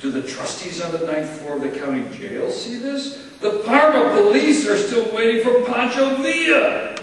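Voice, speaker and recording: A man giving a theatrical spoken-word poetry reading in a church, with emphatic phrasing and dramatic pauses. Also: very high voice, lively intonation. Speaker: poet reading aloud at a poetry event. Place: large church interior, live reading. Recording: very echoey church hall, far-off mic, faint noise.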